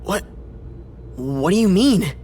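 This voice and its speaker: A woman's voice: fairly low pitch, slow. An eager, cheerful young companion in a game cutscene. Her voice leaps around animatedly as she asks question after question. Faint noise sits underneath. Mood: excited. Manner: bright, excited, questioning.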